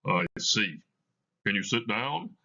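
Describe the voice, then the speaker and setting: A man's voice: on the low side, animated, slow, vocal fry, bright, forceful tone, muffled recording, exaggerated voice. A man playing a grumpy, skeptical old miser who is rattled yet defiant, blustering through a play reading. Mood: wary. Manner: blustering, exclamatory.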